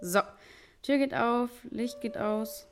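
A woman's voice: fairly high pitch, slow, faint music behind. A woman talking casually in short everyday phrases, as in a documentary radio piece. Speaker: care worker or resident in a nursing home.

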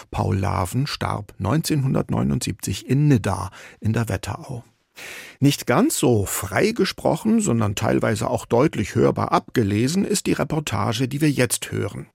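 A man hosting a radio feature, narrating a script in a calm, explanatory, conversational way into a studio microphone. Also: mid-range voice, gravelly, husky, no echo. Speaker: radio host.

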